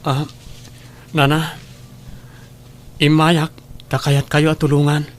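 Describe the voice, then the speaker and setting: An earnest grown son in an audio drama, speaking in a fairly high male voice with an Ilocano Filipino accent. He sounds emotionally invested, as one who pleads with family. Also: completely flat delivery, slow pace. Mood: earnest, reassuring. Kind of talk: Ilocano radio drama acting.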